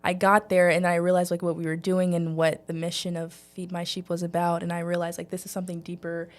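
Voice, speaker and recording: A woman's voice: on the low side, completely flat delivery, brisk. A young woman from Texas talking casually as a student guest in an interview. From Texas, United States. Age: young adult. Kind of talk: casual chat.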